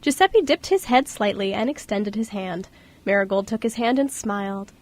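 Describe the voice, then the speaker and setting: A woman's voice: medium pitch, lively intonation, moderate pace, a little mellow. A woman reading scripted story narration for an amateur radio play.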